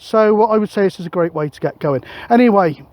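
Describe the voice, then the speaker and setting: A middle-aged man narrates a casual outdoor vlog, thinking aloud with hesitant pauses. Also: sits high, animated, a little mellow, no echo. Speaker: radio hobbyist vlogger. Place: open hilltop field, outdoors. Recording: outdoor recording with wind noise.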